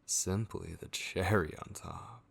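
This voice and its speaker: A man playing a sinister, obsessive voice in a horror ASMR roleplay, breathy and often whispering, taunting a captive vampire with theatrical menace. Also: deep voice, steady tone, slow, a crowd in the background, heavily acted. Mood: dark, admiring.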